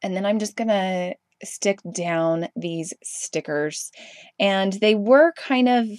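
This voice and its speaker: A woman's voice: mid-range voice, slow pace, a little mellow, dry recording, studio quality. A young woman with a stuffy, congested voice from a cold, casually narrating a craft tutorial over her footage with rambling, chatty asides and lots of ums. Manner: chatty, rambling, frequent ums and self-corrections. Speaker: craft video host.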